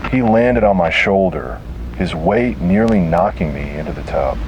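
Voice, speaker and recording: A man delivering scripted first-person horror narration in a grave, dramatic manner with deliberate pauses. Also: sits low, expressive, background noise.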